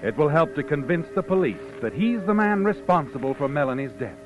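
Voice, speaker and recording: A sinister, knowing narrator of a 1940s radio mystery. He insinuates and taunts with dramatic swings of pitch over clear music, in a dull vintage sound. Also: sing-song, fairly quick. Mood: ominous, taunting.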